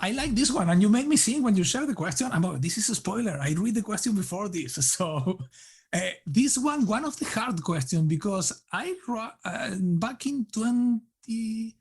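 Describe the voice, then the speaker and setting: A man from Argentina with an Argentine Spanish accent, answering interview questions in a casual, halting way with many restarts. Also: sits high, a little mellow, slightly rough. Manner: halting, many restarts and fillers, laughs lightly. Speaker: guest.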